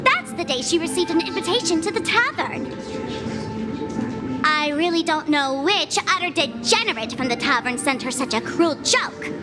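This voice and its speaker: An actress voicing a cheeky, self-confident young streamer in a video game, sugary and sly, with playful theatrical phrasing. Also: female voice, high voice, animated, moderate pace. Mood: dismayed, puzzled. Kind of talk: scripted video game voice acting. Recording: very loud music behind.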